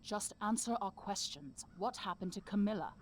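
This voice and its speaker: A woman's voice: mid-range voice, lively intonation, moderate pace, slight background noise, lightly acted. A woman playing a determined young monster hunter in a video game, earnest and focused. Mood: firm. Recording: music behind the voice.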